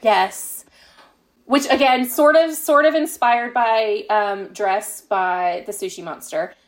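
A woman's voice: on the high side, takes it slowly. A woman speaking as a guest author in a casual interview, explaining her writing in a reflective, conversational way. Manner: reflective, explains at length with frequent "you know" and "like".

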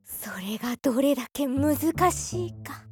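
Girlish dreamy romantic, super high voice, gushy but polite, radio drama with music. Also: lively intonation, a little slow. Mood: protesting. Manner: gushing, polite. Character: dreamy, romantic, excitable girlish young woman. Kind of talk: Japanese radio drama acting.

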